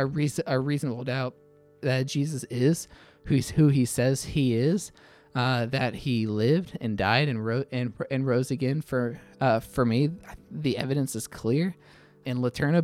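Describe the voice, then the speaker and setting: A man giving thoughtful interview answers, halting often and repeating his words as he goes. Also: medium pitch, darkish tone, no room sound. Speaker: interview guest, attorney.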